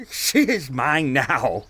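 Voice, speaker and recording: A man's voice: fairly high pitch, wildly swooping pitch, very slow, a bit bright, slightly rough, dry recording, heavily acted. A man playing a menacing, gloating male attacker in a horror audio drama, taunting and domineering. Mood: gloating menace.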